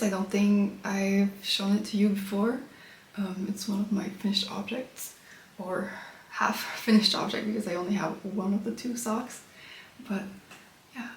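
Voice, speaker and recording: A woman with a slightly stuffy, congested voice talks in a loose, chatty way, showing off her knitting. Her voice rings around an echoey room. A faint hiss of background noise sits behind her. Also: medium pitch. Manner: relaxed and chatty, with frequent fillers. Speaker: knitting podcast host. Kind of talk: casual chat showing knitting. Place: her apartment.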